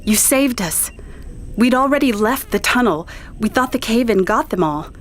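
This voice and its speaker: A woman's voice: fairly high pitch, lively intonation, normal speed, slight background noise, close to an everyday voice. A woman playing an earnest, commanding resistance spokesperson in a science fiction audio drama. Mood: awed.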